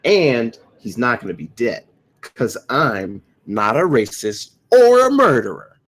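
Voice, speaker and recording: A flippant young slacker guy played by a man in a cartoon comedy. His husky voice swings widely up and down, earnest and clueless. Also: medium pitch, big pitch swings, slow pace. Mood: defensive. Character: flippant, defensive 28-year-old slacker man. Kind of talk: animated comedy script table read.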